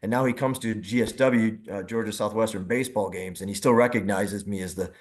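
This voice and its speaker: A man reminiscing casually as a guest, rambling a little with halting, repeated phrases. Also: fairly low pitch, steady tone. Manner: halting, repetitive, rambling delivery. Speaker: former baseball team front-office staffer, guest.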